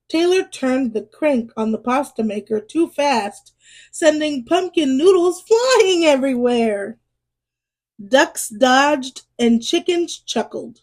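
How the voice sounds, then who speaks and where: A woman reading a children's storybook aloud in an expressive way, as a storyteller speaking to young listeners. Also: high-pitched. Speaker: storyteller and read-aloud host.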